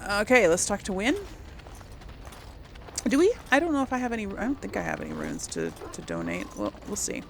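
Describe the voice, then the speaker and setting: A woman's voice: mid-range voice, very animated, brisk, vocal fry, a little hiss or hum. A woman casually commenting on and role-playing through a video game, musing in a hesitant way. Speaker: gaming video host.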